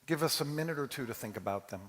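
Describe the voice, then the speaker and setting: A man speaking as a rabbi, informally introducing a dramatic reading to a congregation in a halting, conversational way. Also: medium pitch, animated, a bit bright, slight background noise. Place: large reverberant hall, amplified to an audience. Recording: long hall reverb.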